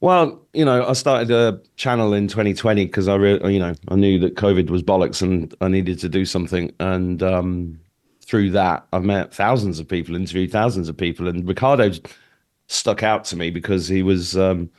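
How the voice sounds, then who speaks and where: A man's voice: deep voice, fairly even intonation, normal speed, a little mellow. A middle-aged man from the UK chatting informally as an interview guest, blunt and joking in manner. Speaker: talk show guest and podcast interviewer. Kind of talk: casual conversational interview chat.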